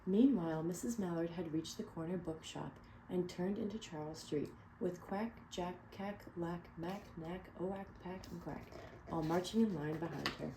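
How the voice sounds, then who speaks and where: A woman reading a picture book aloud to children, pausing to ask them questions. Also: on the low side, background noise. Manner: gentle, asks children questions. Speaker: read-aloud storyteller.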